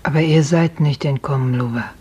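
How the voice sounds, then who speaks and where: Low-voiced, worldly older peasant woman. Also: a little fast, mellow, husky. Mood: puzzled concern. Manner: low, plain-spoken, urging. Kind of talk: 1950s German radio drama acting. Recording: faintly noisy 1950s radio recording.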